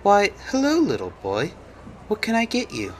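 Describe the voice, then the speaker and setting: A kindly young female cashier from an audio story, put on by a male reader, talks in a lifted pitch. She sounds sweet, coaxing and helpful. Some noise sits behind the voice. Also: huge pitch swings, moderate pace, poor audio. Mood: friendly, helpful. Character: friendly, kindly young woman fast-food cashier.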